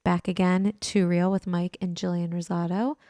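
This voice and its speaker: Chatty low-voiced woman podcast host. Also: flat delivery, moderate pace, warm tone, no room sound, studio quality. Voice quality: deeper voice in the evening. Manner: chatty, joking, laughs often. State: tired late in the day. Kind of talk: casual chat.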